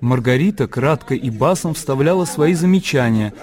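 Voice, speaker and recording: A man's voice: mid-range voice, lively intonation, moderate pace, a little mellow. A man narrating a literary radio drama as scripted storytelling. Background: faint music.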